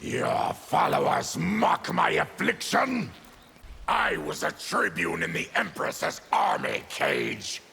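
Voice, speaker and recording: A man voicing a fierce, bitter, diseased mutant warrior in a video game, with a gravelly, raspy growl and grim, forceful delivery. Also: high-pitched, huge pitch swings, slight background noise, full character voice. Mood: contemptuous. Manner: grim, forceful, slow declarations.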